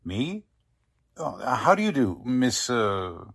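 A man's voice: mid-range voice, very animated, slow pace, creaky, a bit bright, breathy edge, exaggerated voice. A man playing a courteous, self-assured small-town doctor in 1940s radio drama style, genial and a little patronising. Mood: genial, surprised.

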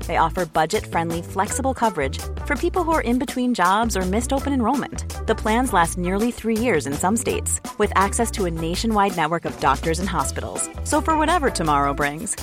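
A woman reading a scripted advertisement as an announcer. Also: mid-range voice. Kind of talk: scripted ad read.

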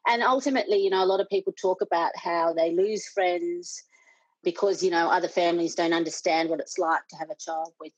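A woman's voice: on the low side, fairly even intonation, fairly quick, no room sound, low-quality recording. A middle-aged Australian woman, a parent and board member, speaking earnestly in an interview with many restarts. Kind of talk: conversational interview.

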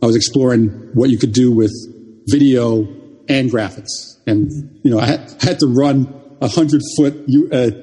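A man's voice: medium pitch, dark tone, husky, big-room sound. An older man from Jamaica, a native Jamaican speaker, recalling his career in an interview with many restarts and repeated words. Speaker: guest. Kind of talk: reminiscing interview talk.

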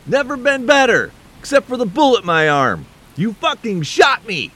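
A man's voice: very high voice, big pitch swings, moderate pace, sharp tone, exaggerated voice. A man playing a defiant, wounded gunman in a crime audio drama, sarcastic and strained. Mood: bitter, sarcastic.

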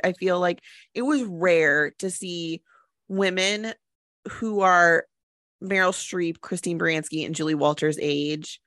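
A woman with a very low, dark-toned voice, co-hosting a chatty film podcast. She rambles along with frequent fillers, recorded close and dry. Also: no room sound. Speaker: podcast co-host. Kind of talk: casual film chat.

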